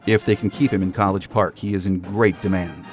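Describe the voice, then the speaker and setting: Low-voiced male sports podcast host. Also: steady tone, a little fast, faint music behind. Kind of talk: scripted sports podcast narration.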